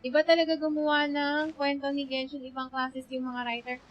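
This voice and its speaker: High-voiced Filipino woman streaming a game. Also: brisk, highs cut off. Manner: expressive, code-switching, chatting to viewers. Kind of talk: casual chatty live game commentary. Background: game music.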